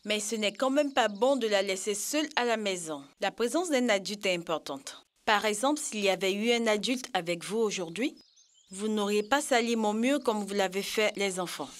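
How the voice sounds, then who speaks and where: A woman playing an affectionate, shrewd grandmother who gently scolds, in an educational cartoon dub. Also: medium pitch, normal speed, natural voice. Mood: gently scolding. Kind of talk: dubbed educational cartoon acting.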